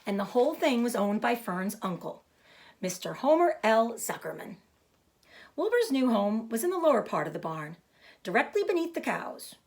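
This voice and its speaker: Expressive woman reading a children's book. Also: mid-range voice. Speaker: read-aloud storyteller.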